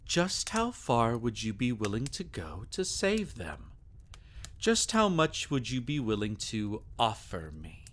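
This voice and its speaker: Man acting a slow, sadistic vampire, swooping pitch. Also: a bit bright. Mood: smug, taunting. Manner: theatrical, slow, taunting, often dropping to a whisper. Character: sadistic, possessive male vampire toying with a captive. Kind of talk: intimate ASMR roleplay audio acting.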